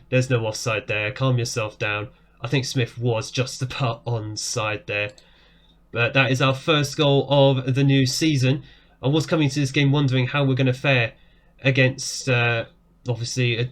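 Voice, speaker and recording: A man in his thirties talks through a football management game from his desk at home. He is chatty and eager, rising sharply whenever a goal goes in. His voice sounds worn and a little hoarse from talking for so long. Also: medium pitch. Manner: excitable, jumps up when goals go in. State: voice going hoarse. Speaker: game streamer. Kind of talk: casual gameplay commentary. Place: small room indoors. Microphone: webcam framing at desk.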